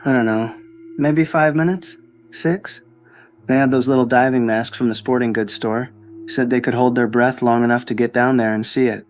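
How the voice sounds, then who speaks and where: A man playing a panicked young man phoning for emergency help in an audio drama, halting and repeating himself. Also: mid-range voice, fairly even intonation, close to an everyday voice. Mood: anxious, rattled.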